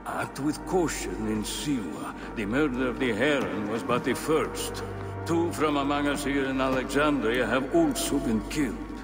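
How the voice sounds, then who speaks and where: Echoing, slow, haughty conspirator, acted by a man. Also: medium pitch, close to an everyday voice. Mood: cold, commanding. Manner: cold, formal, measured. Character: haughty, menacing male conspirator, ancient royal scribe. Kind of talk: dramatic video game acting. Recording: echoing large hall, music behind.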